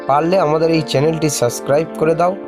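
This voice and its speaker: A man's voice: a little high, steady tone, fast. A man narrating a scripted children's story. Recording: loud music bed under the voice. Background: loud background music.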